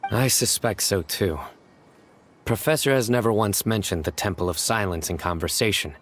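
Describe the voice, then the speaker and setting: An actor playing a stern, reserved young desert investigator who speaks in a measured, deadpan way and sighs often. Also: male voice, fairly low pitch. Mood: calm, grave. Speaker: lead investigator.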